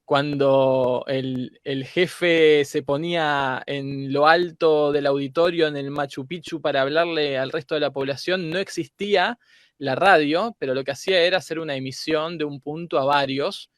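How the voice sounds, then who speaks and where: A man speaking as a guest teacher in a halting, philosophical conversational way. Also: on the high side, fairly even intonation, a bit bright, no room sound, studio quality. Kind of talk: reflective conversation.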